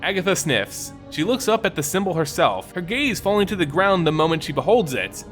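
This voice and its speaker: A male narrator reads a story with theatrical suspense. His fairly high voice rises and falls expressively, with deliberate phrasing and music underneath. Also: sing-song, a bit bright. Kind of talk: scripted dramatic story narration.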